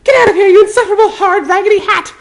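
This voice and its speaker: A man voicing an indignant, sputtering young witch schoolgirl in a shrill falsetto, from a storybook-style dramatic reading. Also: steady tone, rapid-fire. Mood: furious. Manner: furious, sputtering.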